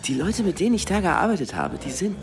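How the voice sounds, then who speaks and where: A woman acting an excitable, tipsy party guest in a naturalistic radio drama, gushing and stammering. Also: fairly low pitch, fast talker, far from the mic, soft background music.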